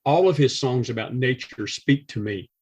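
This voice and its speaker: A man with a husky, slightly rough voice at an average male pitch. He is a drummer guesting on a podcast and talks about music casually, leaning hard on his words with real enthusiasm. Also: moderate pace. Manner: emphatic, enthusiastic.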